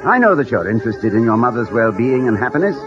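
A man's voice: mid-range voice, big pitch swings, fast talker, close to an everyday voice. A man playing an urbane, wryly philosophical middle-aged college man in 1950s radio acting, wordy and hesitant. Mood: earnest, eloquent. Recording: dull old radio recording with music.

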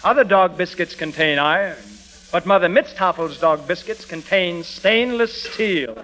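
An actor playing a folksy, gushing soap-opera announcer in a 1940s radio advertising parody. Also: male voice, high-pitched, lively intonation, a little slow. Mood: salesman-like. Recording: hissy, crackly old radio recording.

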